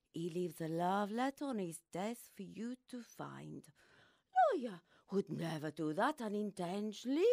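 An actress playing a glamorous, worldly famous actress in a radio play, theatrical, knowing and gently patronising. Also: female voice, medium pitch, no echo, studio quality.

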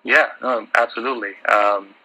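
A man's voice: mid-range voice, steady tone, normal speed, sharp tone, rough recording. A man presenting an update on an earnings call as an energy operations executive.

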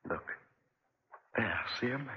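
An actor playing a bitter, vengeful man in a theatrical 1940s radio drama style, with a husky voice. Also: medium pitch, expressive, slow pace, slight background noise. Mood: gloating, vengeful. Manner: theatrical, gloating then brooding. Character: bitter, vengeful man consumed by revenge and conscience. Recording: dull, muffled 1940s radio recording.

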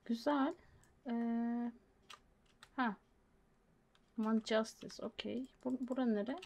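A woman's voice: a little high, fairly even intonation, takes it slowly. A woman doing casual, playful gameplay commentary, talking to herself and slipping in English slang and swearing. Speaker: gaming streamer. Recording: game music behind the voice. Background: loud game music and sound effects.

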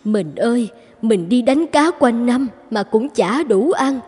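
A woman playing a weary, poor fisherman's wife and mother in an animated fairy tale. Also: on the high side, slow, slightly rough, in a large hall, faint music behind, full character voice. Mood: weary, worried.

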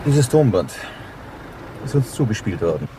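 Dogged detective, low-pitched, dry and blunt, crime radio play, clear noise behind. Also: male voice, very animated, normal speed. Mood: grim, resolute. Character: dogged, old-fashioned male police detective, dryly sarcastic. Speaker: actor in a crime radio drama. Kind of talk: German crime radio drama acting. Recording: clear background vehicle and street noise.

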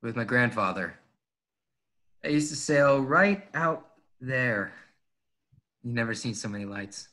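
A man's voice: mid-range voice, lively intonation, measured pace, pauses often, sharp tone. A man playing a cocky, easily exasperated starship pilot in a casual, wry way at a script table read. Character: cocky, exasperated young male starship pilot in sci-fi film. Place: home, over video call.

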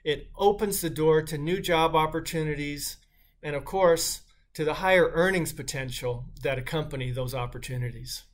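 A man's voice: on the high side, normal speed. A middle-aged man giving a prepared welcome address as a university dean, speaking at a desk in a small office.

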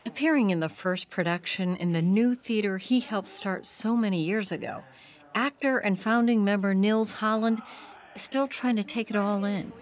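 A woman giving a measured, scripted documentary narration. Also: mid-range voice. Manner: smooth, measured.

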